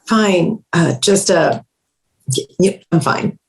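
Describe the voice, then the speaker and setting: A woman acts out a wry female agent working undercover in a comedy script read. She is sardonic and halting under pressure, with a lowish, animated voice. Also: low-quality recording. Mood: awkward, flustered. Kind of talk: screenplay table read acting.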